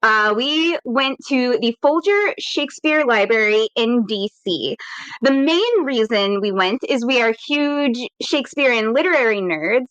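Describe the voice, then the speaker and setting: High-voiced chatty woman podcaster. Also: fairly bright tone, clear, resonant tone, no room sound, lo-fi sound. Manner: chatty, upbeat, laughs often. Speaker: podcast host and editor. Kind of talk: casual podcast chat. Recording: dry room, some static.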